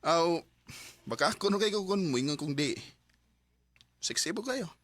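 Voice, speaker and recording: An actor playing an anguished young boyfriend in a radio drama, speaking emotively and colloquially with a Cebuano Filipino accent. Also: male voice, on the high side, lively intonation, measured pace, a bit bright, no echo. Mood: casual, defensive. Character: anguished young boyfriend torn by temptation and betrayal.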